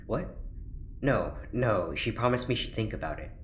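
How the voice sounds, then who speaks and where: A woman's voice: a bass voice, expressive, normal speed. A woman playing an earnest, literal-minded scientist on a spaceship in an audio drama, terse, stiff and defensive. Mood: earnest, confused. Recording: roomy, echoey sound, music underneath. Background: music.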